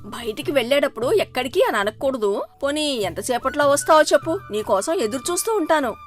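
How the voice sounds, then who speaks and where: A woman's voice: high voice, lively intonation, fairly quick, faint music behind. A woman playing a pushy, easily offended middle-aged sister in a moral story cartoon. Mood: eager, insistent.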